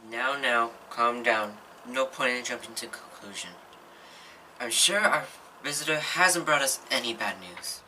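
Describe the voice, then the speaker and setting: Young woman doing a shaken reluctant parent in a school play, very deep for a woman, echoey noisy room. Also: unhurried, bright, forceful tone, recorded from a distance, exaggerated voice. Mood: soothing. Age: young adult. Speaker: student actor. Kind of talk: amateur stage drama acting.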